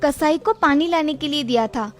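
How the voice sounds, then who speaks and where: A woman voicing a devout, consoling farmer's wife in an animated moral story, earnest and theatrical. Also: high voice, normal speed, soft background music, close to an everyday voice. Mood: questioning.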